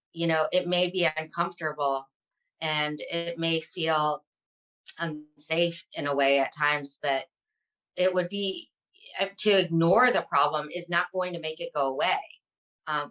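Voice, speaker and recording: A woman with a low-set voice gives conversational interview answers. Her tone is strikingly bright and clear-cut, and she comes across as earnest, speaking as a guest advocate. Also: poor audio.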